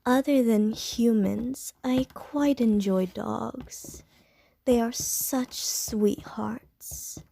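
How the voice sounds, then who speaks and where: A woman voicing a flirtatious, predatory female vampire in an ASMR roleplay, speaking softly and teasingly and slipping into whispers. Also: fairly high pitch, fairly even intonation, creaky, slightly rough, heavily acted. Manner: slow, soft, teasing, drops into whispers.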